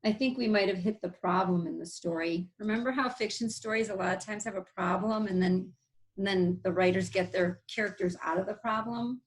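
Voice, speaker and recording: A woman teacher reading a picture book aloud, pausing to explain things simply to young listeners. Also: mid-range voice, rough recording.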